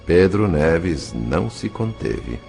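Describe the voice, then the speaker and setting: A man's voice: sits low, sing-song, takes it slowly, creaky, darkish tone, soft background music. A man giving a scripted, dramatic literary narration as an audiobook reader.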